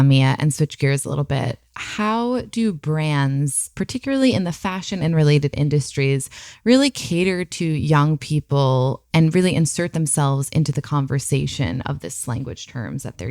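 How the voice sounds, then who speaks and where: A woman's voice: low voice, lively intonation, normal speed, a little mellow, no echo, studio quality. A woman in her thirties hosting a podcast, asking curious, thoughtful questions. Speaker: podcast host. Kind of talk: interview questions.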